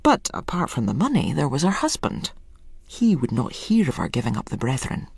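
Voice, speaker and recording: A narrator reading a literary short story in an expressive, measured storytelling manner. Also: mid-range voice, creaky, husky, no echo.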